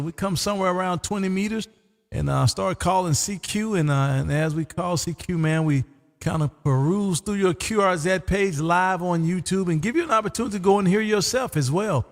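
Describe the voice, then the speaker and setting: A man hosting a live ham radio stream, chatting casually and folksy, his voice going hoarse. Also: high voice, a little fast, in a large hall. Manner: laid-back, folksy, says "man" often. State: losing his voice. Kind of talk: casual on-air radio chat.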